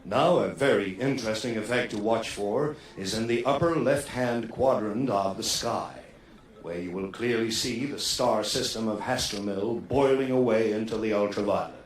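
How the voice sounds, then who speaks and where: A man's voice: on the low side, normal speed, close to an everyday voice. An actor playing a showy male cabaret compère in a radio comedy, with theatrical, grandiose patter. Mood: smooth showmanship. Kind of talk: radio comedy drama acting. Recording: very echoey, distant, faint ambient noise.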